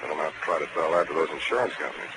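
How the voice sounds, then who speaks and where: An actor playing a cynical, unflappable private detective with a dry, sardonic delivery in a 1940s radio crime drama. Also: male voice, a bass voice, very fast. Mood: dry, skeptical. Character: wisecracking hard-boiled male private detective, cynical, unflappable. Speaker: detective narrator. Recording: noisy old 1940s radio broadcast.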